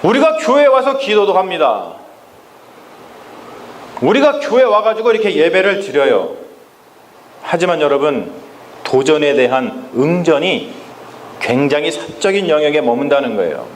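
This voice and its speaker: A man's voice: fairly high pitch, very animated, normal speed, pauses often, a little hiss or hum. Emphatic male pastor in an echoey church. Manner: emphatic preaching, often addresses the congregation. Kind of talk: Sunday sermon. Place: church sanctuary. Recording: echoey church with faint ambience.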